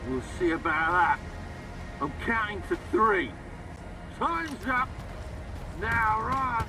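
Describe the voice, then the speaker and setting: An actor playing a swaggering, unhinged military colonel in a video game, barking and taunting theatrically. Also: male voice, sits high, lively intonation, slow pace, far from the mic, over music. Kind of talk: video game character acting.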